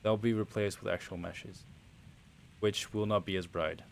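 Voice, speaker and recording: Low-voiced Dutch man streaming casually. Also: moderate pace, gravelly, a little hiss or hum. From Netherlands. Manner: relaxed, rambling, chatting to viewers while playing. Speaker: game streamer. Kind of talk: casual livestream commentary.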